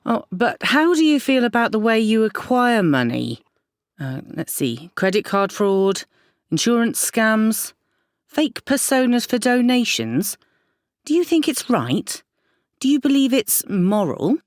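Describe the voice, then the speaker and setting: A woman playing a stern counsellor in an audio drama, measured and pointedly questioning. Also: a little high, big pitch swings, no room sound, studio quality. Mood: challenging, disapproving. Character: stern, probing female counsellor challenging a client.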